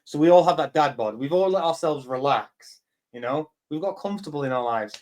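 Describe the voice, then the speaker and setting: A man's voice: fairly high pitch, expressive, fairly quick, a little mellow. Blunt, sweary man on a call. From England. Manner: blunt, sweary, rambling with many fillers. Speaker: CEO hosting a live community chat. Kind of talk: casual chat.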